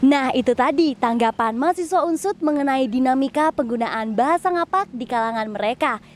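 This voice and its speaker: High-pitched young woman reporter presenting. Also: animated, brisk. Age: young adult. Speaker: field reporter. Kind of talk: upbeat scripted presenting to camera.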